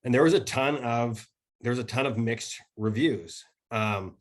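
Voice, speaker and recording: A man's voice: mid-range voice, unhurried. A man in his thirties, a podcast guest whose voice is starting to go, explaining casually over a video call. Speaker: podcast guest, e-commerce expert. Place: indoor room at home. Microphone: video call setup.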